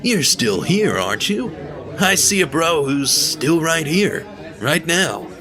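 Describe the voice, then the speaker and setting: An actor playing a friendly, talkative young bartender with a laid-back manner, in an audio drama with music underneath. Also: male voice, on the high side, sing-song, slow pace. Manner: laid-back, talkative, says bro a lot. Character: friendly chatty male bartender who calls everyone bro.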